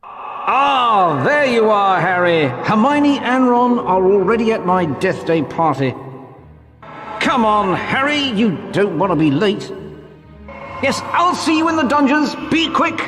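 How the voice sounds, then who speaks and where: An actor playing a courteous, vain gentleman ghost in a video game, speaking in a formal, theatrical way. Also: high voice, big pitch swings, takes it slowly, exaggerated voice. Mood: eager, inviting. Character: genteel, courteous male ghost, vain and eagerly hospitable. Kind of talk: video game character acting. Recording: long reverb, music behind.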